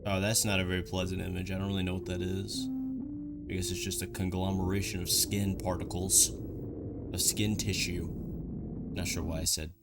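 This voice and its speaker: A man with a fairly low voice talks through game footage in a casual, conversational way. He reacts as he goes and slips in dry, joking asides. Music plays clearly behind him. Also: level tone, normal speed. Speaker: host of a video reaction and analysis show. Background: background music.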